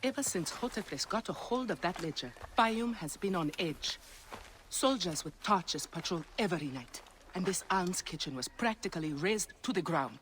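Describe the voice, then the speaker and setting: A woman playing a composed, measured noblewoman in a video game cutscene. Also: lowish voice, animated, fairly bright tone, slight background noise. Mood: calm, reassuring. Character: composed noblewoman, wife of a hunted rebel.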